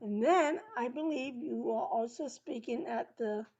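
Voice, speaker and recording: A woman's voice: on the high side, a little slow, darkish tone, muffled recording. A woman with a New York accent hosting a podcast interview and introducing her guest. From New York City. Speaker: podcast host.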